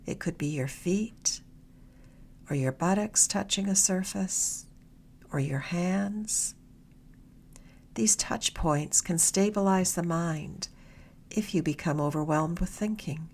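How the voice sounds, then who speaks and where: Female meditation guide, slightly low register, soft and a touch breathy, gentle unhurried delivery with long pauses. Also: a little hiss or hum. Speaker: meditation guide, mindfulness mentor.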